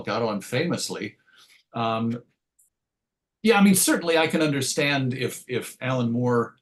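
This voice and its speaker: A man with a mid-pitched voice answers as a comics writer in an interview. His delivery is conversational and reflective, wandering a little and doubling back to rephrase as he thinks.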